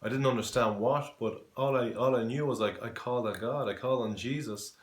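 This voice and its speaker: A man in his forties from Dublin with a Dublin Irish accent, giving an earnest personal faith testimony, halting with many fillers and restarts. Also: mid-range voice. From Ireland. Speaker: testimony giver. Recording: echoey room.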